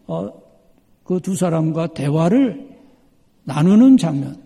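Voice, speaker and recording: A man preaching a sermon as a pastor, measured and emphatic, repeating key phrases for weight. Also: high-pitched, gravelly, mellow. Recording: long hall reverb.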